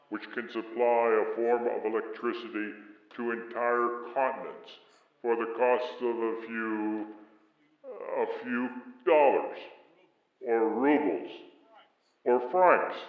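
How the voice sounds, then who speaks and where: A man playing a formal, courteous alien envoy in a radio drama, speaking in a measured, stilted way. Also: mid-range voice, natural voice. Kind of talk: radio theater acting. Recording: echoey, muffled hall with audience.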